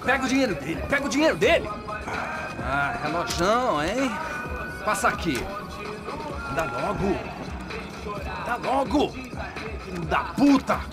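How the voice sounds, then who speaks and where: A young Brazilian gang member in a crime video game. He is aggressive and taunting, with a high voice that leaps up and down. Loud music plays over him. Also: sing-song, normal speed, pauses often. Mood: menacing, furious. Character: aggressive, menacing young male favela gangster.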